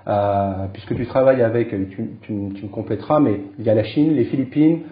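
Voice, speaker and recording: Halting male interviewer in an echoey room. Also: medium pitch, highs cut off. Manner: halting, many fillers and repeated words. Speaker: podcast host, interviewer. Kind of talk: casual interview.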